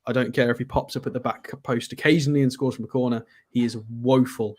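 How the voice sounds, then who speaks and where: A man's voice: medium pitch, fairly even intonation, quick pace, a little mellow. A man co-hosting a casual live football chat show, blunt and opinionated, with frequent hesitant ums. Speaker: football pundit co-host.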